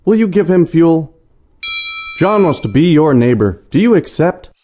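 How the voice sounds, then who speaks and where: A perky farm-game announcer in an audio play, acted by a man, repeating eager notices in a highish, animated voice. Soft noise and clicking sit behind it. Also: measured pace. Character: chirpy automated farm-game notification announcer. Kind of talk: audio play, reading notifications.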